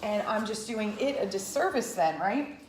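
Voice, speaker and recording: A fifty-year-old woman talks straight to the camera in a chatty, rambling vlog. Her voice sits at a medium pitch, and she keeps circling back and adding asides. The sound rings a little off the walls of the room. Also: lively intonation, normal speed, echoey room, slight background noise, rough recording. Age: about 50. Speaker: Pilates instructor. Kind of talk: casual talking-to-camera vlog.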